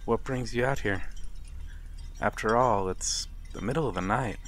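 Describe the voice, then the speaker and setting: A man playing a wistful, courteous vampire in a gentle, formal ASMR roleplay. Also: fairly low pitch, a little hiss or hum, lightly acted. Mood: courteous, inviting. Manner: gentle, formal, halting with soft chuckles and sighs. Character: wistful, courteous old-world male vampire stranger by a harbour. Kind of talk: ASMR audio roleplay, slow intimate monologue.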